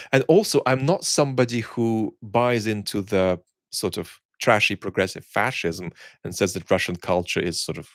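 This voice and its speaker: A man hosting an online chat, talking reflectively and conversationally in articulate, opinionated phrases, often pausing and restarting words as he searches for the right one. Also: sits low, vocal fry, no echo, studio quality. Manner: halting, searching phrasing with repeated word starts. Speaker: host of a live chat for an online community.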